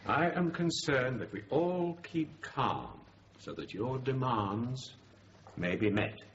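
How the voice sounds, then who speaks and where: A calm, measured police negotiator. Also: male voice, medium pitch. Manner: slow, measured, reassuring. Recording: dull radio drama recording with light hiss.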